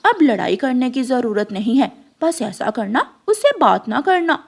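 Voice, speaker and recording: Meddling female crow acted by a woman for a kids' cartoon: high-pitched, scheming, slyly insinuating. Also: big pitch swings, a little slow, creaky, heavily acted. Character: sly, meddling female crow auntie, mischief-maker.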